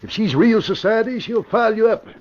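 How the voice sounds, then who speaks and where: An actor playing a wary, exasperated gangster's lawyer in an old radio crime drama, cautioning in a gravelly, husky voice. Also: male voice, high-pitched, brisk, a little hiss or hum, natural voice. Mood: worried pleading. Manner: cautioning, pleading. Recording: muffled old radio recording.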